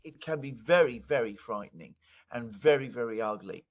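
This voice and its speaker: A man speaking as an interview guest in conversational political commentary, deliberate and given to emphatic repetition. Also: medium pitch, crowd noise.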